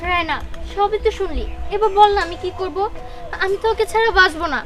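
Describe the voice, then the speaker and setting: A woman's voice: very high-pitched, quick pace. A young woman playing a coy, defiant, lovestruck daughter in a melodramatic short film, with a Bangladeshi accent. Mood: anxious. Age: young adult. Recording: loud music behind dialogue.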